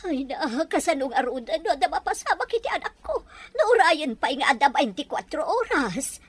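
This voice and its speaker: A woman's voice: high-pitched, sing-song, moderate pace, no echo. A woman playing an anxious village mother, pleading and lamenting in an audio drama. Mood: worried. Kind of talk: melodramatic audio drama dialogue.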